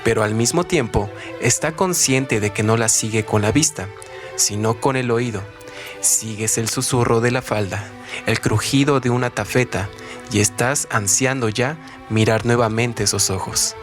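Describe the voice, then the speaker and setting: A man's voice: mid-range voice, normal speed, soft background music. A man narrating scripted literary commentary in a formal, rhetorical manner.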